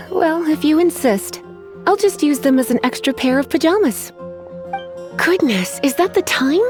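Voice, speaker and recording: A woman's voice: high-pitched, animated, over music. A woman playing a languid, teasing librarian in a video game, coy and polite with menace underneath. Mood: coy, dry. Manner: coy, sighing, drawn-out politeness. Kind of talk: English video game voice acting.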